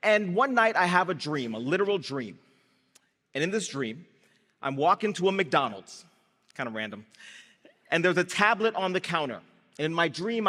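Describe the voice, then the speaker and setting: A young man from Brazil, raised in Guyana, giving a lively startup-founder speech on stage. He tells his story with comic timing and talks straight to the crowd. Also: on the high side. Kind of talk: live stage speech with audience call and response. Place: large event hall before an audience. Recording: reverberant hall through a PA.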